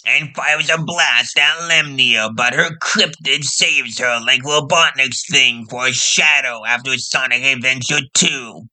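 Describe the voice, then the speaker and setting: A man's voice: mid-range voice, bright, forceful tone, poor audio. A man narrating an anime parody as a comedic story recap.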